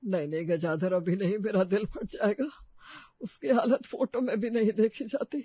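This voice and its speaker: A man's voice: sits high, fairly even intonation, moderate pace, husky, no echo, lo-fi sound. A man playing a fretful, earnest older uncle in a comic family story. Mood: anxious, evasive.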